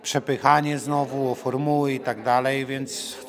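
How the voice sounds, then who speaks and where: A man's voice: medium pitch, little pitch movement, a little slow. A man, a fighter giving a conversational interview in a blunt, challenging manner into a handheld microphone. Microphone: handheld reporter microphone. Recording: crowd chatter in the background.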